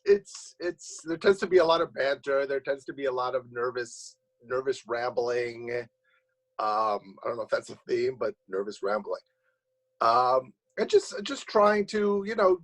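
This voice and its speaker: A man's voice: mid-range voice, poor audio. A man answering interview questions as a playwright on a video call, casually rambling and often restarting his phrases. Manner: rambling, halting with repeated starts.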